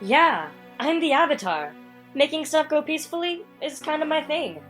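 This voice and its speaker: A cheerful, sincere young boy hero from a cartoon dub, played by a woman. The voice is high and forceful with lively swings, set over clear music. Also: moderate pace. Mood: modest. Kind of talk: animated comic dub acting.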